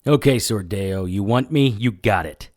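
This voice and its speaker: A man acting as a hard-boiled male private eye narrates in a low voice. He is terse and grimly vengeful, telling a noir audio drama in the first person over faint noise. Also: a little slow, darkish tone, lightly acted.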